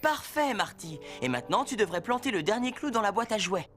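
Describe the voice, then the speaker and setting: An actor plays a friendly cartoon handyman hosting a lesson for children. The delivery is very animated, patient and encouraging, with music clearly behind it. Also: mid-range voice, fairly quick, lightly acted. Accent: French with Spanish words mixed in. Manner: encouraging, explanatory. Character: friendly, patient handyman teaching his talking tools. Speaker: host of a children's how-to segment.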